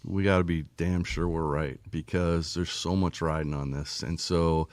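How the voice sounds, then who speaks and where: A man's voice: low-pitched, steady tone, moderate pace, darkish tone, dry recording. A man speaking as an official guest in a casual interview, explaining things plainly and often checking in with short tag questions. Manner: plain-spoken, frequent 'right?' tags. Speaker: motorsport series official, podcast guest.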